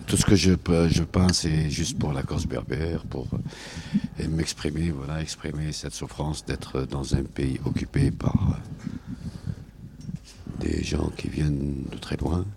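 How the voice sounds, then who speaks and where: A man from Tunisia, a painter living in a squat, answering an interviewer earnestly with halting restarts. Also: extremely low pitch, steady tone, gravelly, background noise.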